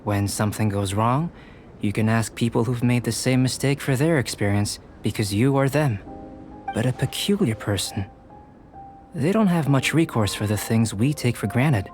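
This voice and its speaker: An actor playing a composed, aloof young scholar alchemist in an anime game, speaking in a measured, precise, academic way. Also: male voice, medium pitch, a little mellow, close to an everyday voice. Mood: calm, reflective. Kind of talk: anime game character acting. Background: background music.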